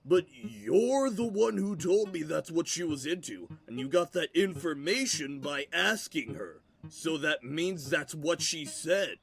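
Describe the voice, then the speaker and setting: A high, creaky-voiced lovesick villager, acted by a man in a fantasy audio play. He whines and pleads obsessively, drawing his words out slowly. Guitar music plays underneath. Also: expressive, a bit bright, full character voice. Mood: eager, insistent. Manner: whiny, pleading, drawn-out delivery. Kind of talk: fantasy audio drama acting.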